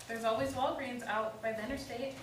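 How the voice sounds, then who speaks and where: A woman's voice: on the high side, fairly even intonation, fairly quick, lightly acted. Blunt, shrewd actress as a protective woman, echoey. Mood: matter-of-fact. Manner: blunt, commanding. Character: Fran, firm, shrewd, protective woman giving orders. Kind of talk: staged play reading. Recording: echoey room, distant mic, faint noise.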